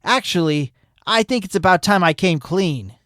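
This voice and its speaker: A woman's voice: on the low side, expressive, normal speed, background noise, close to an everyday voice. A woman voicing a smug, hyperactive male sloth witness with a cocky drawl.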